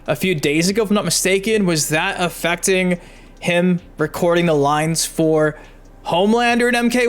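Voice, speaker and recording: Higher-pitched man hosting gaming news. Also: a bit bright. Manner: emphatic, chatty, addresses viewers directly. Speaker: YouTube gaming news host. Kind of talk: energetic video commentary, reading articles aloud.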